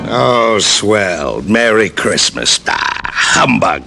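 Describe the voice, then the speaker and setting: Gravelly grumpy old-radio miser. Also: male voice, lowish voice, big pitch swings, drawn-out words, heavily acted. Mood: grumpy, sarcastic. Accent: American. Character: grumpy miserly businessman, easily rattled, wisecracking tough talk. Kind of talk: old-time American radio comedy-drama acting. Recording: old radio broadcast with loud music.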